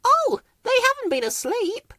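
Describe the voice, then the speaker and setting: Man doing a high, lilting female garden weed. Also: wildly swooping pitch, a little slow, bright, forceful tone, dry recording, studio quality, exaggerated voice. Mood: hesitant, covering up. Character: sweet, chirpy female talking garden weed.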